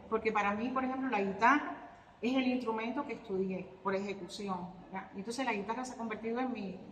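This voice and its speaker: A woman's voice: fairly high pitch, brisk, fairly bright tone. A Cuban woman, an artist and guitarist, answering interview questions reflectively with hesitant fillers and restarts. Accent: Cuban Spanish. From Cuba. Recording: echoey hall with faint ambient noise.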